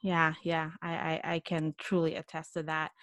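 A woman's voice: deep voice, completely flat delivery, normal speed, fairly bright tone, no echo. A woman hosting a podcast interview, asking questions and affirming her guest with many yeses and mm-hmms. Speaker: podcast host. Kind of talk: casual interview chat.

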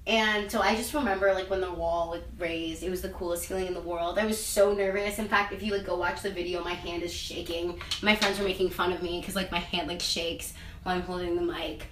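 Young woman, low-set voice, chatty and rambling interview answers; distant mic in a reverberant room. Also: steady tone, a little fast, a bit bright, slight background noise. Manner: rambling, heavy use of "like" and "um". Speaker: interviewee, singer and actress. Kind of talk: casual interview chat. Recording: echoey room, mic at a distance, faint ambience.

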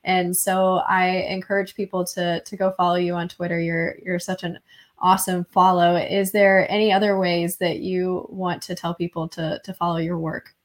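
A woman hosting a podcast interview, speaking in an encouraging, steady way. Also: on the low side, normal speed. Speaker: podcast host.

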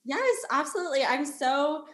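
High-voiced woman host in an echoey room. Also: expressive, normal speed. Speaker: podcast host. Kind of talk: casual conversational interviewing. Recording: roomy, echoing sound.

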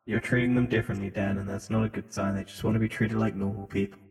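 A man's voice: lowish voice, level tone, fast talker, gravelly, a little mellow. A man co-hosting a podcast in casual, crude banter, swearing and teasing freely. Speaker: podcast co-host. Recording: echoey reverberant room sound.

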